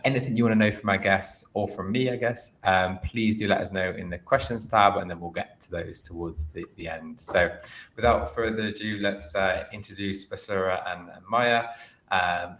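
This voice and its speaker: A man's voice: sits low, steady tone, moderate pace, fairly bright tone. A young man hosting an online careers webinar, chatty and upbeat, with frequent ums and restarts. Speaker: host.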